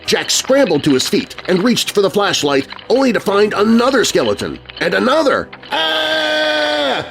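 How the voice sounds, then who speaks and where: Animated male narrator of spooky children's stories. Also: high-pitched, moderate pace. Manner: suspenseful, theatrical. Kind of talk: dramatic scripted spooky storytelling for children. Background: music bed.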